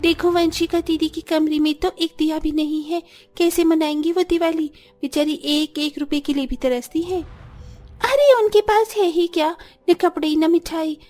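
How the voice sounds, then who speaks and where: High-pitched smug rich sister-in-law played by a woman. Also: a little mellow. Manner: boastful, condescending. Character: rich, smug, mocking young sisters-in-law and doting mothers.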